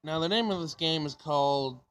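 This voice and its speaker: A man's voice: fairly high pitch, moderate pace, soft background music. A man giving casual, informal game commentary as a let's-play host, a little hesitant as he goes.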